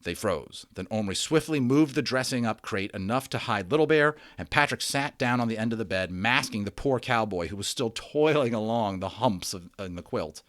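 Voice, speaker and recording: Expressive low-voiced man reading a story. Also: moderate pace, hardly any pauses, no echo, studio quality. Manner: expressive, theatrical. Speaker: storyteller reading books aloud. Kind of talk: dramatic read-aloud of a children's novel.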